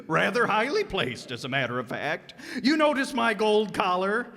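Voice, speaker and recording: A man's voice: sits high, very animated, normal speed, creaky, sharp tone, exaggerated voice. A man playing a silly, snobbish pet griffin in a comic radio play, gushing and giggling in an affected way, recorded in an echoey hall. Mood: smug, giggly. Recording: live stage performance in echoey hall.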